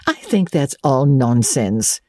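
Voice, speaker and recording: Woman putting on a grim strict old spinster, curt, dark mellow tone. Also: fairly low pitch, big pitch swings, measured pace, husky, no room sound, studio quality, pitched above the performer's own voice, full character voice. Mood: stern. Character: stern, brisk older spinster woman.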